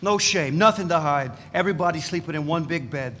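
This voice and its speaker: A man's voice: a little high, brisk, fairly bright tone, close to an everyday voice. A man reading the part of a boastful, crude male ex-radical fugitive in a live dramatic reading, with a blunt, provocative manner. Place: event space. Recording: reverberant live room, faint noise.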